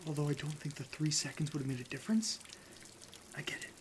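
A man's voice: on the high side, animated, fast talker, background noise, natural voice. A man playing a cautious, level-headed sidekick in an audio drama, reasoning and exasperated in naturalistic banter. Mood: exasperated, urgent.

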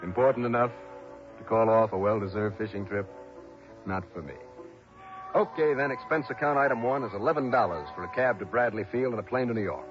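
An actor playing a wry, reluctant insurance investigator in a 1960s radio detective drama. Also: male voice, on the low side, fairly quick, natural voice. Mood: wry, resigned. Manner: wry, hard-boiled first-person narrating. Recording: dull old radio drama, music behind.

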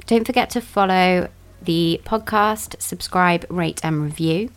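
A young woman hosting her own podcast, chatty and bubbly, with quick asides. Her voice sits low for a woman and sounds dry and close, with no room around it. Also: no room sound. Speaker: podcast host. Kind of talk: podcast intro and casual interview.

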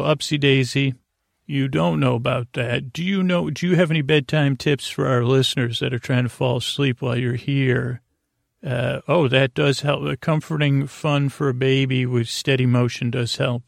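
Creaky-voiced man rambling at bedtime. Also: medium pitch, dry recording, clean studio sound. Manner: meandering, halting, trails off mid-sentence; whimsical, talks to an imaginary guest. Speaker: host of a bedtime podcast. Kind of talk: rambling improvised bedtime monologue.

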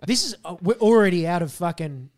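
An Australian man co-hosting a podcast in casual chat, hoarse after losing his voice, swearing and telling stories animatedly. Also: high-pitched, expressive, fairly quick, a little mellow. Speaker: podcast co-host.

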